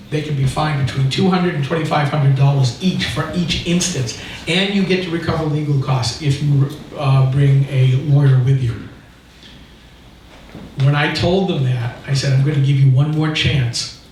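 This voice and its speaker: A man's voice: fairly high pitch, moderate pace. An American man giving an informal talk at a local meeting, telling his story in an emphatic, indignant, explanatory way. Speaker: activist speaking at a local political meeting. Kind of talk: informal public talk, recounting an anecdote. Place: indoor meeting room, recorded from a distance. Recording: echoey room, distant mic, faint noise.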